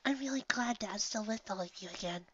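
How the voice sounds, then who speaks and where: A woman voicing a shy, gentle female pony in a storybook reading, soft, breathy and hesitant. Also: mid-range voice, fast, lo-fi sound, pitched above the performer's own voice, natural voice. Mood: tender.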